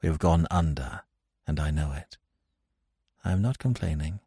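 A man voicing a cynical, world-weary young woman thief in an audiobook story, with a cool, slow delivery. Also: very deep voice, mellow, husky, no room sound, clean studio sound, full character voice. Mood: resigned.

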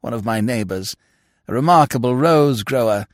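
A man's voice: mid-range voice, animated, moderate pace, dry recording, studio quality, natural voice. A man voicing an amiable, vague older English lord in an audiobook, chatty and rambling in short genial remarks. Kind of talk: audiobook character voice.

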